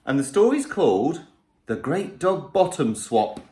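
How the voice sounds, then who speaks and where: A male primary school teacher reading a rhyming picture book aloud to children in a playful, lively way. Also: on the high side, a little slow, reverberant.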